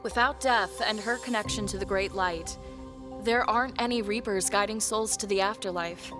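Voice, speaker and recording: A woman's voice: medium pitch, moderate pace, over music, close to an everyday voice. A woman playing a blunt, grim adventurer in a fantasy audio drama.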